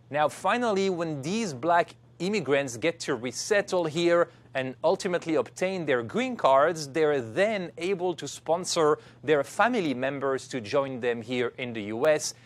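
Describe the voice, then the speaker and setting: A man in his thirties speaks on a news studio set. He explains things crisply and step by step, his voice a little higher than most men's. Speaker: national correspondent.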